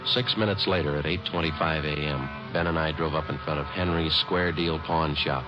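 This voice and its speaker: An actor playing a terse, matter-of-fact police sergeant who narrates and questions people in a clipped, deadpan way, in an old radio drama. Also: male voice, very deep voice, moderate pace, loud music behind the voice. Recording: muffled vintage radio broadcast.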